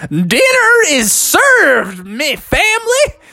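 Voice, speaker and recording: Man putting on a folksy, high-pitched country uncle bear. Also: sing-song, measured pace, sharp tone, no room sound, exaggerated voice. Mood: hearty, proud. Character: cheerful old country uncle bear, a farmer.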